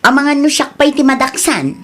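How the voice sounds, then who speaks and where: A woman's voice: high voice, lively intonation, normal speed, a bit bright. A woman playing a caring, fussy village wife in a radio comedy drama, coaxing and mildly nagging, with a Filipino accent. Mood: fussing, coaxing.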